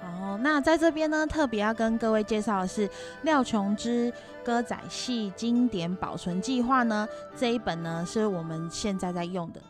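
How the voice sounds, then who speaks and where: A woman's voice: a little high, moderate pace, few pauses, music in the background. A woman with a Taiwanese accent explaining things to listeners as a podcast co-host.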